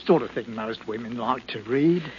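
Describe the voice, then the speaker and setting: An actor playing a meek, flustered husband with something to hide, hesitant and deferential, in 1940s radio style. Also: male voice, mid-range voice, moderate pace, darkish tone, natural voice. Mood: apologetic. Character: meek, flustered English husband hiding guilt. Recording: muffled, noisy 1940s radio recording.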